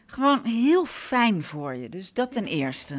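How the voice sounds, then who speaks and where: An actress playing a forthright, incredulous grown-up daughter in a radio drama, halting and questioning. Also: female voice, medium pitch, very animated. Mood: awkward, tactful. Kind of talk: Dutch radio drama acting.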